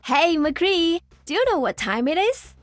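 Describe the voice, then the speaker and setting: Casting: sweet game scientist, young, high voice, earnest. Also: female voice, big pitch swings, unhurried, exaggerated voice. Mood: playful. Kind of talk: video game character acting.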